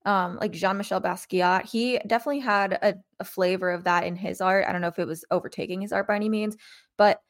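A woman's voice: medium pitch, fairly even intonation, fast talker. A woman in her twenties co-hosting a podcast, speaking earnestly and openly in casual conversation. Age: 20s. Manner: earnest, open about feelings. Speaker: podcast co-host.

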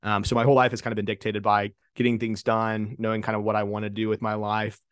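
Low-voiced young man, relaxed talk. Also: quick pace, clean tone, no room sound, studio quality. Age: 20s. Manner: relaxed, conversational, many fillers and restarts. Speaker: guest, marketing consultant. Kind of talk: casual podcast interview.

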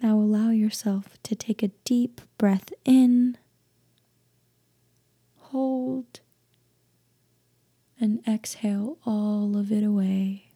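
Breathy, hushed woman guiding a slow meditation. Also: mid-range voice, fairly even intonation, no echo, studio quality. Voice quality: soft, breathy, half-whispered. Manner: gentle, hushed, deliberate with long pauses. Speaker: meditation guide. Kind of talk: guided meditation, slow soothing instruction.

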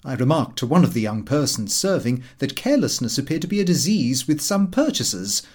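A man narrating a comic diary audiobook in an expressive, fussy and aggrieved theatrical manner. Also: mid-range voice, fairly quick.

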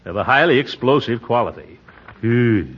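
Blustering, wide-swinging pompous radio uncle. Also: male voice, medium pitch, lively intonation, normal speed, breathy edge. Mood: flustered, uneasy. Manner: blustering, exclaiming, theatrical hems and chuckles. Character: pompous, flustered small-town uncle and water commissioner. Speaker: lead actor in a radio comedy. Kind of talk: 1940s radio sitcom acting. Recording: muffled, noisy 1940s radio recording.

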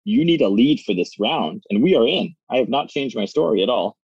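A man's voice: medium pitch, lively intonation, a little fast, a little mellow, breathy edge, no echo, highs cut off. A man in his thirties, a startup founder, talking in a rambling, casual way with lots of "like" fillers, recorded in a small phone booth. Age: 30s. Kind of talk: casual interview chat.

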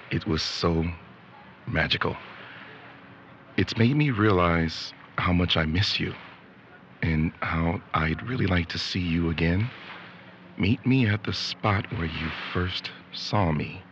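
A man's voice: low voice, slow, a bit bright. A man acting an awkward, wistful young boyfriend in a naturalistic audio drama, talking hesitantly. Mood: wistful, embarrassed. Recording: dull lo-fi audio with faint background noise.

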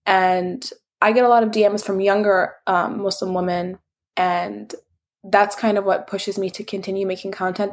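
Candid, reflective woman guest. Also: mid-range voice. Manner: reflective and candid, heavy use of "like". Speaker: interview guest, social media creator. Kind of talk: casual interview chat.

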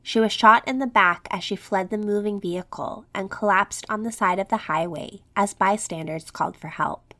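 A woman's voice: medium pitch, moderate pace, hardly any pauses, a bit bright. A woman hosting a true crime podcast, reading a scripted narration in a slightly scratchy voice as she recovers from an illness. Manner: reads script with quotes and statistics.

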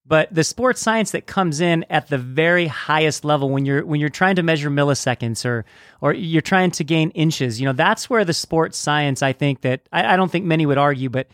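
A man's voice: on the high side, animated, moderate pace, fairly bright tone, no room sound, studio quality. A man hosting a conversational interview who speaks in an earnest, reflective way.

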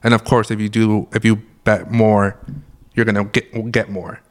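Young man, low voice, raspy, just woke up, joking, casual podcast chat. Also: level tone, moderate pace. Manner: joking, laughs often, rambling storyteller. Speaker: podcast co-host.

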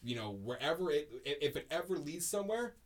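A man's voice: on the high side, very animated, fairly quick. A man hosting a podcast in casual chat, telling stories in a rambling, emphatic way, full of ums and restarts. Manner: rambling, heavy on fillers and restarts, emphatic storytelling. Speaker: podcast host.

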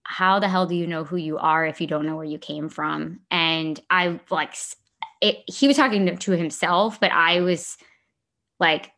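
A woman's voice: low voice, a bit bright. A woman co-hosting a podcast in casual, unscripted chat, with informal, slangy, sweary phrasing. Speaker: podcast co-host.

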